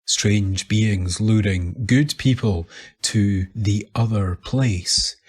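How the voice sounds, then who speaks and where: Male narrator, Scottish. Scripted mysterious storytelling, lowish pitch, unhurried pace, pauses for effect. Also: husky. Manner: measured, deliberate, with dramatic pauses. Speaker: writer and narrator of the show. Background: music bed.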